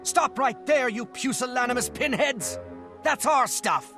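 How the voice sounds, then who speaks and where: An actor playing an indignant, excitable young student of a small goblin-like race in a video game, wordy and full of protest. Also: male voice, extremely high pitch, unhurried, over music. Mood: outraged. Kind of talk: video game cutscene acting.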